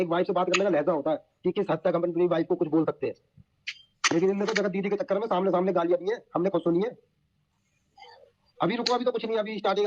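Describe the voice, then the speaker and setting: A man's voice: high-pitched, noisy background. A man on a dull recorded call, telling gossip informally in an emphatic, colloquial way.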